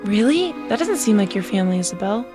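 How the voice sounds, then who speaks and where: A woman's voice: mid-range voice, big pitch swings, quick pace, natural voice. A woman playing a sympathetic, sensible schoolgirl friend in an audio drama with music behind her. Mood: concerned.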